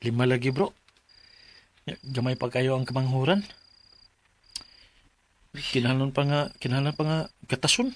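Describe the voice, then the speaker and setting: A man's voice: medium pitch, fairly even intonation, normal speed, long pauses, a little hiss or hum, lightly acted. A man acting a humble, proud widowed father of five in a Bisaya radio drama, speaking in a plaintive, earnest way with a Filipino Bisaya accent. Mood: sorrowful.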